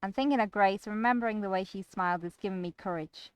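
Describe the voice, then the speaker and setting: A woman playing a gloomy, withdrawn man in a visual novel, with a flat, reluctant, grumbling manner. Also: mid-range voice, fast, clean tone, no room sound, natural voice. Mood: wistful. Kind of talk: first-person visual novel acting.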